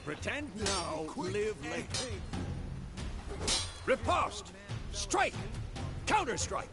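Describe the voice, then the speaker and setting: An actor playing a gruff, weary old swordmaster in a video game, a stern mentor who barks commands and grumbles. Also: male voice, high-pitched, sing-song, drawn-out words, heavily acted. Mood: commanding. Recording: loud music over voice.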